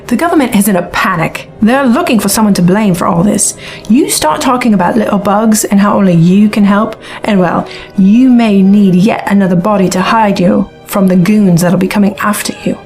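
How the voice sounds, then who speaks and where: A woman's voice: medium pitch, moderate pace, darkish tone, faint music behind, lightly acted. An actress playing a hard-bitten, sceptical woman reporter and pilot in a science fiction audio drama. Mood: warning. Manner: matter-of-fact, sceptical.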